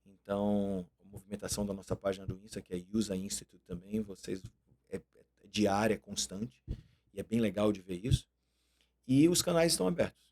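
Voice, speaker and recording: Brazilian man, guest school owner, low voice, rapid upbeat pitch. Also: darkish tone. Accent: Brazilian Portuguese. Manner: promotional, upbeat. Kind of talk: casual interview chat.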